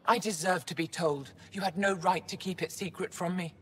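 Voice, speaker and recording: A woman's voice: fairly low pitch, steady tone, normal speed, fairly bright tone, long reverb tail, a little hiss or hum, natural voice. An actress playing a dignified older female alien scholar in a video game, speaking formally and with authority. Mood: indignant, commanding. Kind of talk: dramatic video game acting.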